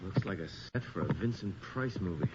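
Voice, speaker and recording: Casting: an uneasy, curious investigator, highly expressive, on a muffled vintage radio drama recording. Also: male voice, moderate pace. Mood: wry, indignant. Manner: expressive, intent, questioning. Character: inquisitive, wry, uneasy male investigator. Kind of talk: 1980s radio horror drama acting. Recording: dull, noisy old radio recording.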